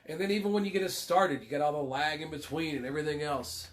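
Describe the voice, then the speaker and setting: A man co-hosts a livestream while stuffy with a cold, his voice sitting a little high. He rambles loosely, swears offhand and jokes at his own expense over a laptop mic. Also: quick pace. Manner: rambling, swears casually, self-deprecating jokes. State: congested with a cold. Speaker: livestream co-host. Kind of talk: casual livestream chat.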